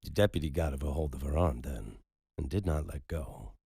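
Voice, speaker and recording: A man narrating a dark story in a slow, brooding, measured way. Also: extremely low pitch, slightly rough, dry recording, clean studio sound.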